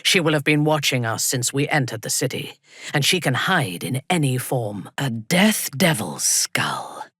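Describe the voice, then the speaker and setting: A woman voicing a cold, commanding female dark elf warrior in a fantasy game, with a husky, breathy voice and slow, imperious, precise delivery. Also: very deep voice, big pitch swings, no room sound, studio quality, full character voice. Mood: dry, composed. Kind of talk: dramatic fantasy game voice acting.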